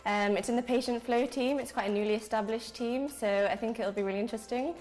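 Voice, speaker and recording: A young woman from North Yorkshire talks quickly and chattily, leaning on fillers as she answers interview questions as a graduate trainee. The room sounds echoey, with soft music running underneath. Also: fairly high pitch, fairly even intonation. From North Yorkshire, England. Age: young adult. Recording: roomy sound with music behind.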